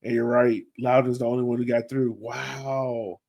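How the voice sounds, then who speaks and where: A man with a medium-pitched voice chats casually as the co-host of a sports podcast. His speech is informal and colloquial, like relaxed fan talk. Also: moderate pace, creaky, dull audio.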